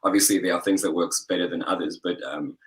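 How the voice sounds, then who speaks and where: A young man, a singer-songwriter guest, chatting in an interview over a video call in a relaxed, rambling way full of fillers. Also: low voice, quick pace. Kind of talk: casual interview chat.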